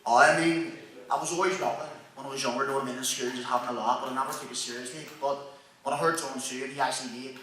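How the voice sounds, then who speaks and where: Young man, rapid excitable chatter, far from the mic, crowd around. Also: medium pitch, very echoey. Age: young adult. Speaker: panelist, rapper. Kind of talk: casual panel talk.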